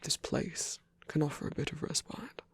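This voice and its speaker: A man playing a gentle, caring old vampire priest in an intimate ASMR roleplay, speaking in a halting, bashful, breathy whisper. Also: fairly high pitch, no room sound, full character voice. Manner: halting, bashful, softly reassuring.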